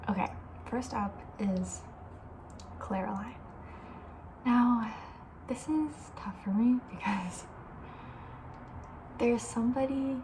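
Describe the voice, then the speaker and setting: A woman speaking in a soft, breathy ASMR style, often slipping into a whisper, chatting casually in a gentle, hesitant way. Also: medium pitch, noisy background. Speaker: ASMR video creator, host.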